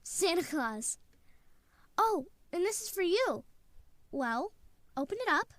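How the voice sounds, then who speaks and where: Woman playing an eager, high-pitched little boy. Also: sing-song, fairly bright tone, no echo, full character voice. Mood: excited. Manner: childlike, earnest, halting when confessing. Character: eager, innocent little boy, earnest and excitable. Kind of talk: old-time radio drama acting.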